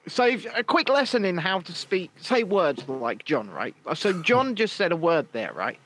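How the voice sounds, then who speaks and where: A man's voice: sits high, big pitch swings, normal speed, a bit bright, a little hiss or hum. A man hosting a live stream, chatting casually in a teasing, sweary, jokey way. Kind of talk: casual bantering chat.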